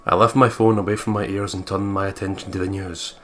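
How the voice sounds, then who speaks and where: A man's voice: low voice, little pitch movement, quick pace, a bit bright, soft background music. A man reading visual novel narration aloud and breaking into jokey, profane commentary as a gaming co-host. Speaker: let's play co-host.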